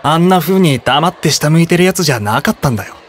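An actor playing an easygoing, teasing teenage schoolboy in an anime-style voice drama, with casual boyish speech. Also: male voice, fairly high pitch, expressive, fast talker, a little hiss or hum, close to an everyday voice. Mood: subdued.

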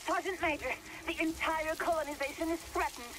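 A woman's voice: sits high, fairly even intonation, brisk, close to an everyday voice. A woman acts an alarmed mission officer in a video game cutscene. She speaks with urgent, earnest pleading over noisy surroundings. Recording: loud battle and ambience noise behind.

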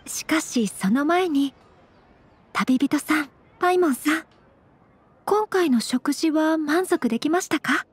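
Casting: refined young lady for an anime game, high-pitched, formal, gentle and composed. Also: lively intonation, unhurried, dry recording. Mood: polite, admiring. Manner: very formal honorific speech, composed and courteous. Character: polite, refined, gentle young noblewoman of fantasy Japan. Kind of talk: Japanese anime game voice acting.

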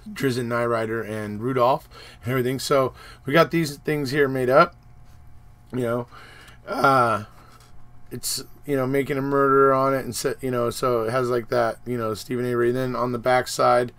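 A slightly hoarse man in his forties, a channel host, rambling casually through a trip recap with many fillers, filmed on a webcam in his home office. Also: medium pitch, fairly even intonation, moderate pace, a bit bright. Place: home office room with posters.

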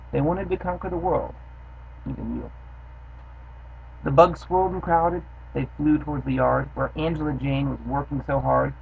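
Young adult male storytime host, casual chatter then a steady rhythmic read; gravelly voice, bedroom webcam with faint hiss. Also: mid-range voice, lo-fi sound. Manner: casual, offhand chat with fillers, then steady rhythmic reading. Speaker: host reading children's poems. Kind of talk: reading a rhyming poem aloud. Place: bedroom, indoors. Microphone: built-in webcam mic.

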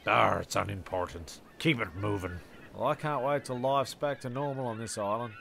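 A man's voice: fairly low pitch, moderate pace, gravelly, a bit bright, a little hiss or hum. A man playing a groggy, sweary, grumbling castaway in a comedy sketch. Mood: grumbling, resigned. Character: groggy, grumbling male castaway goat jockey.

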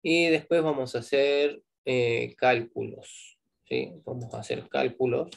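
A man's voice: mid-range voice, measured pace, gravelly. A man with an Argentine Spanish accent teaching a live online programming class, explaining step by step and checking in with "¿sí?" after each point.

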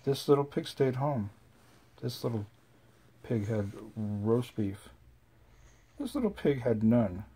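A parent with a relaxed, sing-song way of reading nursery rhymes aloud and chatting with a small child at home. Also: mid-range voice, slow, pauses often, slightly rough.

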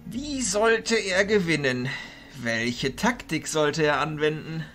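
A man playing an elderly, authoritative arch-chancellor of illusionists in a fantasy audio drama, speaking in a theatrical, deliberate way. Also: a little high, animated, fairly bright tone, faint music behind. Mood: calm, questioning.

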